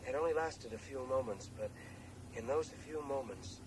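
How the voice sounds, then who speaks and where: An actor playing a soul-searching man confiding to his brother in a halting, earnest radio drama monologue. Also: mid-range voice, animated, natural voice. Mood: rapt, awed. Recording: noisy 1980s radio drama tape.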